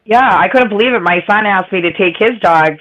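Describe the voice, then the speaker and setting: A woman with a raspy voice and a strong Boston accent, chatting casually and laughing a lot. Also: mid-range voice, brisk, a bit bright, poor audio. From Massachusetts, USA. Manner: chatty, laughs often, repeats herself. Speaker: chat room participant. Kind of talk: casual chat.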